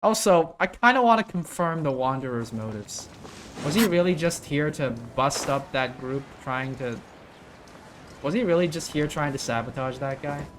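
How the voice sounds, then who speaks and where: Musing game-reaction creator, mid-pitched voice. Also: expressive, moderate pace, noisy background. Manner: musing aloud, self-questioning. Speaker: gaming video creator. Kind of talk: casual game reaction commentary.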